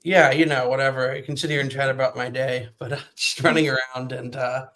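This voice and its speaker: Quick offhand cohost, casual chat. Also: medium pitch, steady tone, fairly bright tone. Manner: breezy and offhand. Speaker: co-host.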